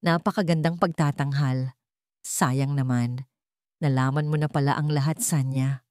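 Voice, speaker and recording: A man's voice: medium pitch, steady tone, measured pace, a little mellow, clean tone, no room sound, clean studio sound, lightly acted. A woman voicing a sinister older father, a cold villain who is sardonic and menacing, in an audio drama. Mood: mocking.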